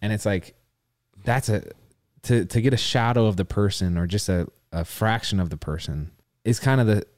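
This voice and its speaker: A man co-hosts a podcast and asks his partner searching questions, stammering and restarting as he gropes for words. His voice is low and sits dry and close to the mic. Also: normal speed, a little mellow, no room sound. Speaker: podcast co-host. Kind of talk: conversational interviewing.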